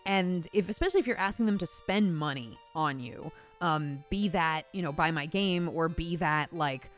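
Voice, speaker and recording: Lower-voiced woman chatting over music. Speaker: voice actor and host. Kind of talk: casual conversational discussion.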